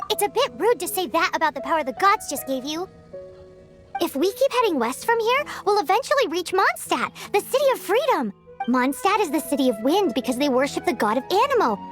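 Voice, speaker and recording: Tiny chirpy fairy sidekick, extremely high squeaky voice, very animated, game dialogue, music behind. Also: female voice. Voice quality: squeaky, childlike. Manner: perky, speaks of herself in third person. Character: tiny chirpy female fairy companion, eager and childlike.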